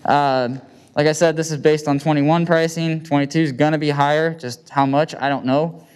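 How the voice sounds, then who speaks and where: A man with a Midwestern American accent speaks plainly and informally, like a farmer presenting to peers. His voice sits a little high for a man and is very clean and smooth. He talks into a handheld microphone in colloquial, practical language. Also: steady tone, moderate pace, a little mellow. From United States. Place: indoor meeting room with seated audience.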